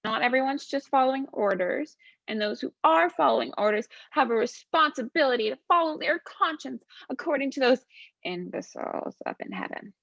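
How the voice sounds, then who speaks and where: A snarky, smug demon played by a woman rambles through a comic stage monologue. The voice is fairly high and casually sardonic. The recording is dry and somewhat dull and lo-fi. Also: animated, normal speed, gravelly, a bit bright, no echo, heavily acted.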